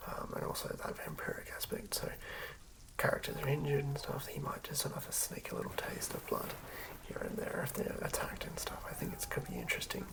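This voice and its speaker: A person whispering softly in an ASMR style, narrating a tabletop character build in a breathy, creaky hush. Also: mid-range voice, poor audio. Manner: hushed whispering. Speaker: ASMR creator.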